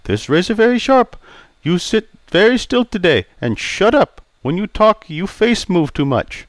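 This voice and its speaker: A man's voice: mid-range voice, huge pitch swings, measured pace, dry recording. A man voicing a prickly older male barber who speaks in clipped, broken English. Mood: gruff.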